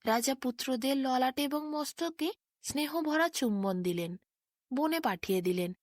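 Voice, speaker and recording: A woman's voice: high-pitched, measured pace, no echo, studio quality. A woman reading a scripted fairy tale as a storyteller.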